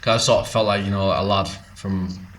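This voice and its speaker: A man's voice: sits low, fairly quick, slight background noise. Man chatting in a pub. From Teesside, North East England. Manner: relaxed and rambling, with frequent ums and likes. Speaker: guest singer-songwriter. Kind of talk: casual pub chat.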